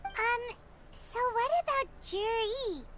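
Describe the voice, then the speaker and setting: A woman voicing a small, childlike girl sidekick in a video game, squeaky, curious and earnest. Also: slow, heavy background noise. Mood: thoughtful.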